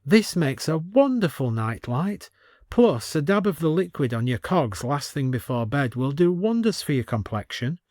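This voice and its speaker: A man's voice: fairly high pitch, big pitch swings, moderate pace, darkish tone, dry recording, clean studio sound. A man voicing a theatrical saleswoman with a coaxing, would-be seductive manner in an audiobook story.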